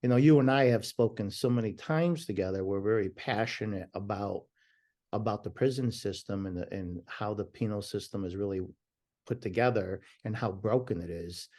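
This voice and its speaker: A man's voice: lowish voice, normal speed. A man speaking as a podcast guest, talking passionately and emphatically over a remote call, sometimes restarting his sentences. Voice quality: loud voice. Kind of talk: conversational interview.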